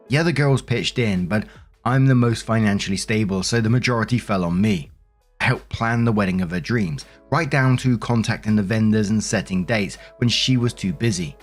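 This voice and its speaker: A man narrating online stories aloud and commenting on them in a measured, conversational way. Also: lowish voice. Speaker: host and narrator of Reddit story compilations.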